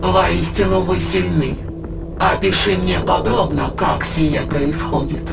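Heavily creaky, calculating alien. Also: male voice, high-pitched, steady tone, full character voice, poor audio. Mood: probing. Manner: formal, measured, probing. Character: calm, calculating male alien intruder. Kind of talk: science fiction audio drama acting. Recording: loud music behind.

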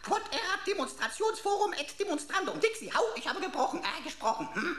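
An actress in a German radio play playing a pompous, sarcastic pocket computer with florid, mock-formal, theatrical delivery. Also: female voice, very high-pitched, big pitch swings, brisk, roomy sound, over music. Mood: pompous, triumphant. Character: pompous, emotional talking pocket computer, a sarcastic sleuth sidekick.

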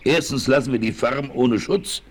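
A man's voice: mid-range voice, normal speed, a little hiss or hum, natural voice. An actor playing a worried, cautious frontiersman who reasons earnestly in a 1960s German radio play.

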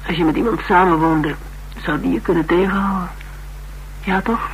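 An actor playing a direct, inquisitive Dutch detective in a radio play, with a drily teasing, businesslike manner. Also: male voice, sits high, moderate pace, slight background noise, rough recording. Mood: probing, dry. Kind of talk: naturalistic radio play dialogue.

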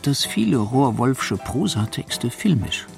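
A man's voice: mid-range voice, very animated, slow pace, creaky, faint music behind. A man delivering formal, erudite scripted narration for a literary radio feature.

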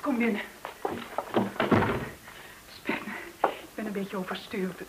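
An actress in a radio play playing an anxious, distraught woman with a breathy, shaken delivery. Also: medium pitch, steady tone, takes it slowly, lightly acted. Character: anxious woman, nerves frayed, confiding in a friend. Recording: hissy, clicking old radio recording.

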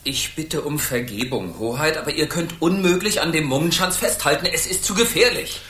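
Stern worried medieval knight captain, radio play, old courtly way of talking, echoey room. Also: male voice, a little high, normal speed, sharp tone. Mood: pleading, respectful. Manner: formal, archaic courtly diction. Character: stern anxious knight captain guarding a young emperor. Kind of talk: German children's radio drama acting.

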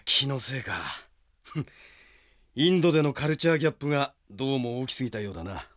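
A man's voice: medium pitch, expressive, quick pace, fairly bright tone, close to an everyday voice. An actor playing a brash, impassioned French swordsman bent on avenging his sister in a Japanese audio drama. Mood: uneasy.

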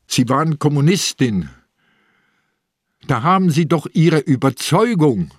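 An actor playing a sick old police inspector in a radio drama, distraught yet stubbornly idealistic, with a weakened, husky voice. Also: male voice, on the high side, big pitch swings, unhurried, no echo, clean studio sound, heavily acted. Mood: agitated, imploring. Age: older.

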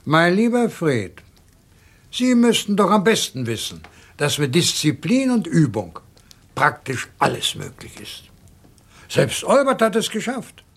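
Husky gravelly older colonel, boastful scheming host, slow and theatrical, radio crime play. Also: male voice, a little high, huge pitch swings, full character voice. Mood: genial, urbane. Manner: theatrical, deliberate, savouring his words. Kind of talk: radio crime drama acting.

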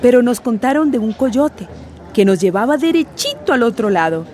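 Casting: working-class migrant woman, fairly high voice, colloquial, weary but indignant, radio serial. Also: sing-song, normal speed, mellow. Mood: earnest, rueful. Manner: plain-spoken, colloquial storytelling. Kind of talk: radio drama acting.

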